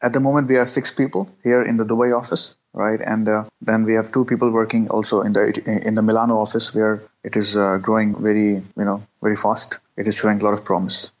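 A man in his thirties from Pakistan, a guest answering interview questions with many pauses, fillers and restarts. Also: lowish voice, little pitch movement. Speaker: guest, entrepreneur. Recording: dull lo-fi sound.

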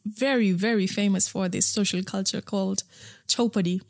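A woman talks openly and chattily as an interview guest, often restarting her sentences. Her voice sits in a middle pitch. It is recorded close and dry, with no room sound. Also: fairly even intonation, normal speed, gravelly, no echo. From Nepal. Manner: frank and chatty, with frequent fillers and restarts. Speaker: interview guest, founder of a period underwear brand. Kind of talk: casual interview conversation.

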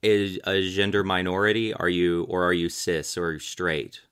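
A man's voice: sits low, fairly even intonation, measured pace, fairly bright tone, clean tone. A man of about thirty talking conversationally as a tarot reader, explaining reflectively and checking in with his listener. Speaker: tarot reader guiding a reading.

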